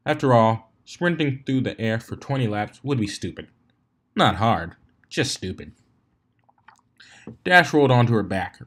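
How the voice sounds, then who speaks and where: A man reading fan fiction aloud as a storybook narrator, in an amateur way with occasional stumbles and retries. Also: fairly low pitch.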